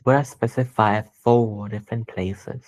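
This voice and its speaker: Male meditation guide, low-pitched, very unhurried, gentle and deliberate, phrase by phrase. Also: fairly even intonation. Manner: gentle, deliberate, pausing between short phrases. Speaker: meditation teacher.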